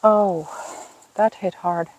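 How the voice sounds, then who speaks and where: A woman in her fifties from Finland, a native Swedish speaker with a Finland-Swedish accent, hosting a knitting podcast in a casual, thoughtful way and pausing often to find her words. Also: mid-range voice, fairly even intonation, darkish tone, highs cut off, a little hiss or hum. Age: 50s. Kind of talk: casual chat to camera. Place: outdoors in a home garden.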